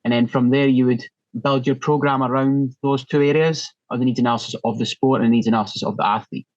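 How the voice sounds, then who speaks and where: A man's voice: medium pitch, fairly even intonation, fast, a little mellow, dull audio. A man from northeast Scotland with a Scottish accent, explaining things conversationally as a podcast guest and fitness trainer.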